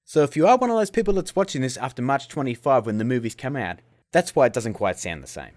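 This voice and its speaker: A man hosting a casual software tutorial in a cheeky, self-mocking way, with jokey asides. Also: mid-range voice, sing-song, fast talker. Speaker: host of a video-editing tutorial show. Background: music.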